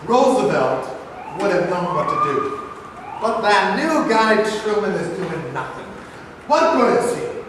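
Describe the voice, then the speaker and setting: A man's voice: sits high, very animated, unhurried, distant mic. A man playing an upset, angry father at a bar, in a staged reading recorded from afar in an echoey, noisy hall. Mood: angry, worried.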